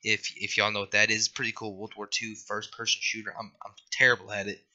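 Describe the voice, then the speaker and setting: Scratchy-voiced young man with a bright edge. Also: lowish voice, flat delivery, a little fast, muffled recording. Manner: rambling, apologetic, lots of fillers. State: scratchy voice after being sick. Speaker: gaming youtuber. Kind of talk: casual gameplay commentary.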